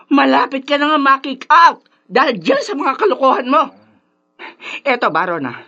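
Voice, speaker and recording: Casting: nagging Filipino radio-drama mom, high piercing voice, swooping melodramatic scolding. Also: female voice, very animated, normal speed, sharp tone, muffled recording, full character voice. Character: exasperated, scolding mother of a troublemaking son.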